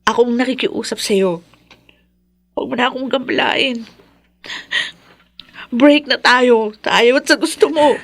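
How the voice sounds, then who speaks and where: A high-voiced young Filipina daughter. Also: female voice, a little slow, creaky, pauses often, fairly bright tone. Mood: upset, firm. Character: dutiful young Filipina daughter, guarded reluctant sweetheart. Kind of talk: radio drama acting.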